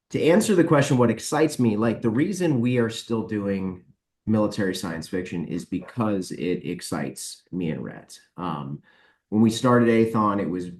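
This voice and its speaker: A man's voice: deep voice, darkish tone. A man answering interview questions casually as a podcast guest, informal, emphatic and inclined to ramble. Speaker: podcast guest, publisher and author. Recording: roomy, echoing voice recording.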